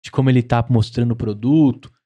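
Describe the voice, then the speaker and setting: A man's voice: mid-range voice, steady tone, fast, warm tone. A man in his thirties hosting a business podcast in a studio, talking with enthusiastic, promotional energy. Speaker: podcast host. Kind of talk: casual business podcast chat. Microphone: studio mic on boom arm, headphones.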